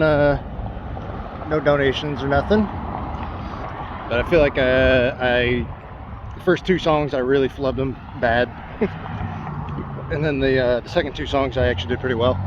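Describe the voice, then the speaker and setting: Man, worn voice, rambling vlog to camera; dull camera mic, traffic noise. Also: mid-range voice. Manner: rambling, self-doubting, many ums and restarts. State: voice worn from singing. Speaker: travel vlogger. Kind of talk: casual vlog talk to camera. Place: outdoors by roads and stores. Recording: slightly dull outdoor camera audio with clear street noise.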